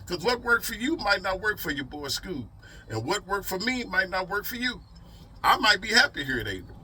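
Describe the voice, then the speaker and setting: A man's voice: sits high, sing-song, fairly quick, bright, forceful tone, a little hiss or hum. A man talking casually as a trucker vlogger, giving advice in an emphatic, folksy way with repeated rhetorical questions. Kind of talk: motivational advice vlog, casual talk.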